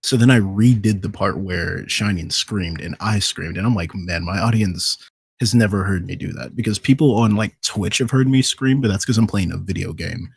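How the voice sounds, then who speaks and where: A man's voice: low voice, normal speed, creaky, husky, dry recording. A man talking casually as a voice actor guest on a podcast panel. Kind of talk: casual chat.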